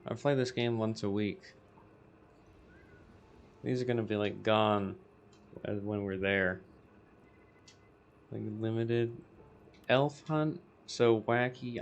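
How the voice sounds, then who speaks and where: Scratchy, lower-pitched man hosting a game video, rambling and casual. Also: highs cut off. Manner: rambling, self-interrupting. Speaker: gaming video host. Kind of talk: casual gameplay commentary.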